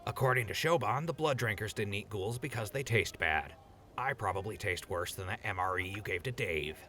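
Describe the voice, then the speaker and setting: A man's voice: fairly low pitch, lively intonation, normal speed, bright, forceful tone, a little hiss or hum. A man playing a wry, needling but sensible ghoul in a post-apocalyptic audio drama, casual and sardonic. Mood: teasing.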